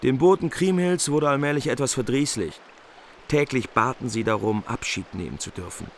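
Male narrator for a radio epic, measured delivery, noisy background hiss. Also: medium pitch. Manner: calm, measured. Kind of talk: scripted narration.

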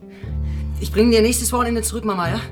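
An actor playing a young adult son in a radio drama, speaking in a casual, earnest and reflective way. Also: male voice, sits high, steady tone, fast talker, over music, close to an everyday voice. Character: candid, thoughtful grown son of the inspector. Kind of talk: naturalistic German radio drama acting.

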